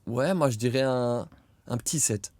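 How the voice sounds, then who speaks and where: A young man co-hosting a podcast in casual chat, hesitant with many fillers and bursts of loud laughter. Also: mid-range voice, normal speed, dry recording. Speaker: podcast co-host.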